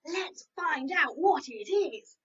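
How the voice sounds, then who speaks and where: A woman's voice: very high-pitched, very animated, measured pace, voice pushed up, exaggerated voice. A woman voicing a nosy, playful young female farm animal in a storybook, eager and chirpy. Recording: distant, dull lo-fi.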